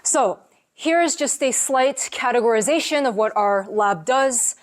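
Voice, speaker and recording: A woman's voice: on the high side, unhurried. Woman explaining research in a seminar. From United States. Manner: relaxed, explanatory, with frequent fillers. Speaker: professor giving a seminar. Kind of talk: academic seminar lecture.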